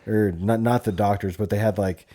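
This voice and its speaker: A man's voice: fairly low pitch, fairly even intonation, normal speed, dark tone. A thirty-year-old American man chatting casually as a podcast host, in crude slang full of swearing. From Montana, USA. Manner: crude, profanity-heavy slang. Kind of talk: casual chat.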